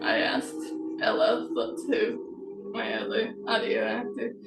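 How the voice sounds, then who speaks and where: Slow, halting woman in an echoey room. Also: fairly high pitch, little pitch movement, creaky, music in the background. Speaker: host, author of historical novels. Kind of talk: casual chat about writing. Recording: roomy echo, distant from the mic.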